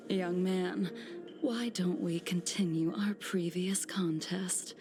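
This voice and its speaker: A woman voicing a calm, haughty, cryptic swordswoman in a video game, with soft breathy, measured delivery. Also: on the low side. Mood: cool, aloof. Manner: slow, measured, disdainful. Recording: background music under the voice.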